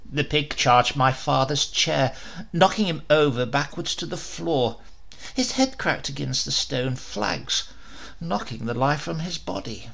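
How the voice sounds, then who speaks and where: Man, comic storybook narrator, bright forceful tone, gravelly edge, expressive. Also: fairly high pitch, measured pace. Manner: expressive first-person storytelling with wry humor. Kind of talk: storybook reading.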